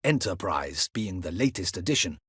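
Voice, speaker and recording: Stern fantasy game guard captain, husky voice, formal courtly diction, animated pitch, dry close recording. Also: male voice, moderate pace, dry recording, heavily acted. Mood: grave, businesslike. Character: stern, dutiful male captain of a ducal guard. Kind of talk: video game fantasy voice acting.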